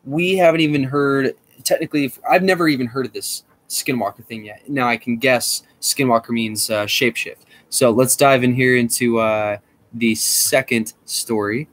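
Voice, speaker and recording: A man hosting a casual podcast, talking in a rambling, excitable way with lots of swearing. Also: medium pitch, moderate pace. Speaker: podcast host.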